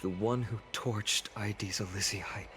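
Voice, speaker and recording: A man playing an earnest young heroic swordsman in an anime-style game, smooth, polite and sincere in manner. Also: fairly low pitch, steady tone, a little slow, fairly bright tone, a little hiss or hum. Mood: grave, determined.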